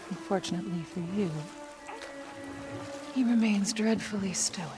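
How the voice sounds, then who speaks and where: A woman playing a gentle, wry Norse warrior mother in a cinematic video game, speaking tenderly and with measured, soft teasing. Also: medium pitch, fairly even intonation, lightly acted. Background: music.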